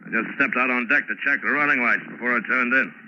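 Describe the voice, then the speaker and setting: An actor playing a stern, commanding ship's officer in a 1940s radio drama. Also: male voice, mid-range voice, fast talker, sharp tone. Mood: scornful. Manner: curt, commanding. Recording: dull lo-fi 1940s radio with faint hiss.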